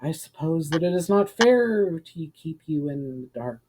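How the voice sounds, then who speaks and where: A man voicing a wise, mysterious woman guide in a fantasy story, explaining in a formal, measured manner. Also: fairly high pitch, expressive, darkish tone, slightly rough. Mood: grave, patient. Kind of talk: fantasy audiobook dialogue.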